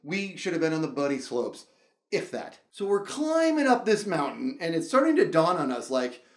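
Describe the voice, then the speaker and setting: An excitable man with a high voice tells a personal story for laughs. His delivery swings widely up and down as he dramatically acts out reactions and dialogue. The room adds noticeable echo around him. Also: moderate pace. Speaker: storyteller, show host. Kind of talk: animated comedic personal storytelling.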